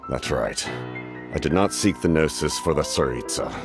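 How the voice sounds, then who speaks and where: A grave military captain, very low in pitch, commanding yet courteous. The game character speaks in solemn, measured, formal sentences over prominent music. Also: male voice, animated. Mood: composed, resolute. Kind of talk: English game voice acting.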